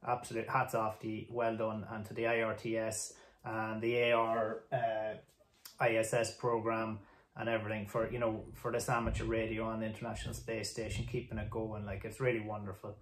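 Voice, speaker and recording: A man's voice: lowish voice, monotone, fairly quick. An Irish man in his forties rambling casually and appreciatively to camera as a hobbyist host. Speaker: video host, amateur radio hobbyist. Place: small indoor home radio room. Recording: roomy home-office sound.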